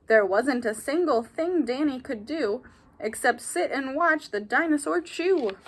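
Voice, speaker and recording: A woman reading a children's picture book aloud like a teacher, animated, with asides and questions to young listeners. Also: high-pitched, measured pace, breathy edge.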